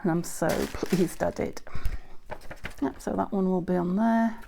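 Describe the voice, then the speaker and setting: A woman from the UK hosting a crafting video, chatting casually and rambling a little as she shows her work, her voice a bit croaky. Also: on the low side, a little slow, warm tone, slight background noise. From United Kingdom. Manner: chatty, rambling, self-correcting with frequent ums. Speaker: craft channel host. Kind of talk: casual crafting tutorial chat. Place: indoors at a craft table. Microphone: overhead camera, hands only on table.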